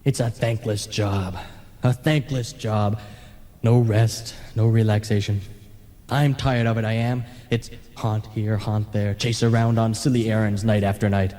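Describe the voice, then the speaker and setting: A weary, grumbling male ghost, played by a man in a radio play, fed up with haunting. The voice is soft-edged and mellow, sardonic and put-upon, washed in long reverb on a broadcast tape. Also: on the low side, steady tone, a little slow, natural voice. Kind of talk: old-time radio play acting.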